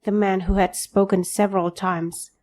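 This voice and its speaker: A woman narrating an audio drama in the first person as an anxious young protagonist, grave, measured and introspective. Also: mid-range voice, little pitch movement, darkish tone, natural voice. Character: anxious young reader, inwardly narrating an apocalypse.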